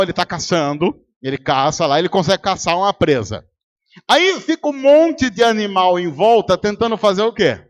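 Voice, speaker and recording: High-voiced Brazilian pastor preaching. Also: male voice, fairly bright tone. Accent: Brazilian Portuguese. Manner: rhetorical, quizzes the congregation and acts out arguments. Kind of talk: sermon. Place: church service.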